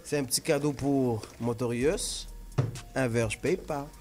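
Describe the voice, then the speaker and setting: Slow-talking joking man. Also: medium pitch. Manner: playful, joking, laughs a lot, mixes in English. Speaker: interview guest. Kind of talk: casual chat.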